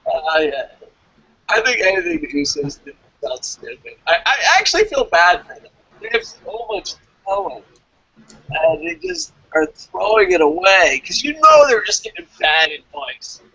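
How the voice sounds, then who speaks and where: A man's voice: sits high, very animated, normal speed, pushed and bright, husky, no echo, dull audio. A man co-hosting a wrestling review show in casual, opinionated chat, speaking bluntly and with plenty of swearing. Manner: profane, blunt.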